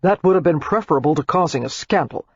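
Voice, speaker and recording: A buttoned-up young gentleman from a storybook, answering in clipped, restrained tones. A woman narrator plays him in a low, husky voice. The recording is dry and slightly muffled. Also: animated, quick pace, no echo. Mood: defensive. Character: stiff, proper young gentleman, flustered. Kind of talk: audiobook character voice.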